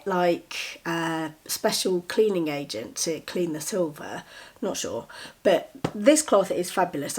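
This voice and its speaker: A woman's voice: on the low side, husky. Woman vlogger, a bit congested from a cold, chatty and enthusiastic, show-and-tell to camera. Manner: chatty, enthusiastic, with soft giggles. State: a little bunged up with a cold. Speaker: vlogger showing gifts.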